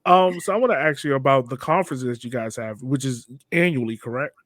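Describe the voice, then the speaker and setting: A man's voice: mid-range voice, a little fast, fairly bright tone, no room sound, clean studio sound. A man hosting a podcast, asking questions in a casual, conversational way. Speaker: podcast host, interviewer.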